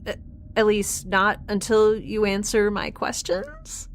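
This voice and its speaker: A woman's voice: medium pitch, slow pace, vocal fry, a little hiss or hum, exaggerated voice. An actress playing a quirky, stubborn young woman scientist in a spaceship audio drama, rambling and earnest. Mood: defiant. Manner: rambling, babbling, animated, earnest.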